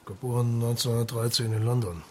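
An actor playing a thoughtful, patient police marshal who questions deliberately in a radio crime drama. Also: male voice, medium pitch, fairly even intonation, slight background noise, close to an everyday voice. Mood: probing.

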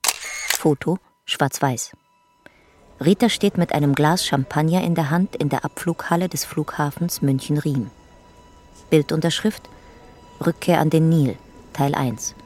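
A woman's voice: sits low, a little slow, long pauses, darkish tone, soft background music. A woman playing an impersonal narrator in a radio play, reading out files in a clipped, matter-of-fact documentary style. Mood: neutral, official.

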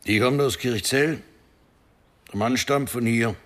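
An actor playing an elderly widowed villager, terse and plain-spoken, in a radio drama. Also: male voice, mid-range voice, slow, fairly bright tone. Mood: matter-of-fact. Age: older. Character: old widowed village man, gruff, plain-spoken, devoted.

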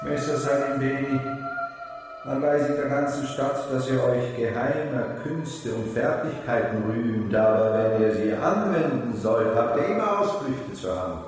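An actor playing a proud, defensive Renaissance painter haunted by guilt, in solemn, archaic radio drama speech. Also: male voice, medium pitch, unhurried, lightly acted. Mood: wary, haughty. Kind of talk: theatrical German radio drama acting. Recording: very echoey long reverb, music behind.

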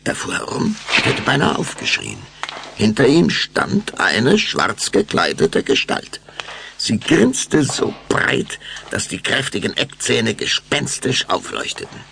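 A man narrating a scripted story for a German audio drama in a measured, suspenseful way. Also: mid-range voice, huge pitch swings, gravelly, bright, forceful tone, slight background noise.

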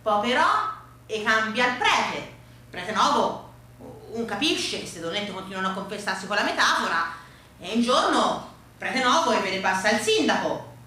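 A woman's voice: medium pitch, animated, normal speed, sharp tone, recorded from a distance, a little hiss or hum. A woman with a Tuscan accent performing a colloquial theatrical storytelling monologue. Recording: very echoey room, faint music.

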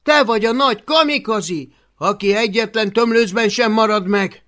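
A man voicing a brash, cheeky young Viking boy who talks in a loud, excited way. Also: very high-pitched, pitched above the performer's own voice.

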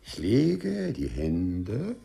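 A mischievous male ministry clerk in 1950s radio drama style. The voice is gravelly and drawn out, with huge swings in pitch. Servile politeness and mockery both come through theatrically. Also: huge pitch swings, drawn-out words, mellow, exaggerated voice. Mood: sly, gleeful. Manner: theatrical, obsequious and mocking by turns. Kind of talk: 1950s German radio play acting.

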